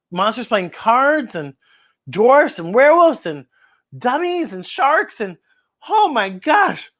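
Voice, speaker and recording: A woman's voice: a little high, a bit bright. A woman hosting children's story time, reading a picture book aloud and exclaiming directly to young viewers.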